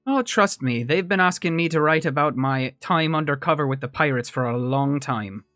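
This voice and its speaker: A man's voice: a little high, normal speed, a bit bright, dry recording, studio quality, soft background music, natural voice. Man playing a wry seasoned lawwoman. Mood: playful. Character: wry, seasoned female law officer, former undercover agent.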